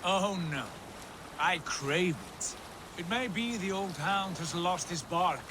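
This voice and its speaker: An actor playing a proud, embittered Viking lord in a video game, speaking in a solemn, declamatory way. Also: male voice, high voice, slow pace, noisy background. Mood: defiant. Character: embittered, proud Viking lord, grown man, fervent and brooding. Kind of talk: dramatic video game acting.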